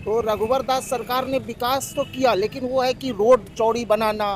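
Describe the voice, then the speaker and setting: A man answers a street interviewer. His voice is notably high for a man. There is faint urban noise behind him. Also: steady tone, normal speed. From Jharkhand, India. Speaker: member of the public being interviewed. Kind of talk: spontaneous opinion in a street interview. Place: outdoors in an urban area.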